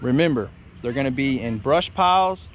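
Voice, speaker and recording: Excitable man fishing outdoors. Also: mid-range voice, moderate pace, a little hiss or hum. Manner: excitable, hollers when a fish bites. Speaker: fishing vlogger. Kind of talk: casual fishing vlog talk. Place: outdoors on a small boat on a lake.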